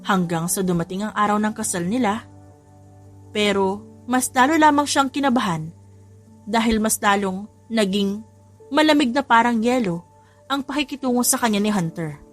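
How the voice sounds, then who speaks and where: A woman's voice: medium pitch, moderate pace, pauses often. A woman giving a scripted, dramatic narration of a story as an audiobook narrator. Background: background music.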